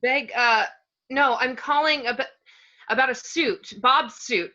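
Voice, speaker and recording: Actress as a hesitant housewife, muffled lo-fi sound. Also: female voice, sits high, sharp tone, heavily acted. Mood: hesitant, earnest. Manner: halting, self-correcting. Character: hesitant, earnest superhero housewife on the phone. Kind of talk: online table read of a film script.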